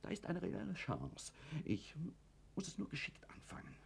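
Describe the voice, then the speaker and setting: Husky, volatile, domineering husband. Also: male voice, sits high, wildly swooping pitch, normal speed, in a whisper, full character voice. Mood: defensive. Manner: agitated, self-justifying, commanding. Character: guilt-ridden former officer turned factory owner, domineering, nervous husband. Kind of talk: German radio drama acting.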